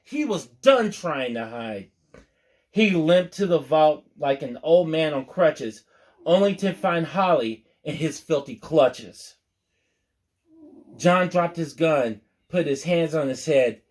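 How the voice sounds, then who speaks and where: A man's voice: on the high side, lively intonation, slow pace. A man reading a rhyming story aloud as a storyteller, expressively, with folksy, playful asides to his listeners.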